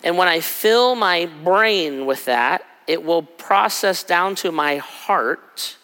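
A middle-aged man preaching a sermon in a conversational way, his voice raspy from travel. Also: on the high side, lively intonation, sharp tone. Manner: conversational, acts out quick asides. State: raspy from a cold trip. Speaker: pastor. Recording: hall with long reverb.

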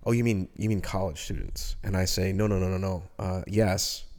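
A man's voice: sits low, fairly even intonation, moderate pace, darkish tone. A man in his early forties talking casually and reflectively as co-host of a podcast discussion. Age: early 40s.

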